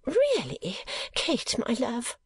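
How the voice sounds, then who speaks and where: A woman's voice: a little high, wildly swooping pitch, moderate pace, a little mellow, breathy edge, pitched above the performer's own voice, heavily acted. A woman voicing a vain, flustered middle-aged mother in a storybook, genteel and easily affronted. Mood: faint, flattered.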